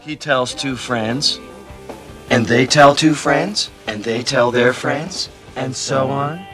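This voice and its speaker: An actor playing a man who explains in a slow, repetitive delivery. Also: mid-range voice, lightly acted. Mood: measured. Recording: loud music over archive clip.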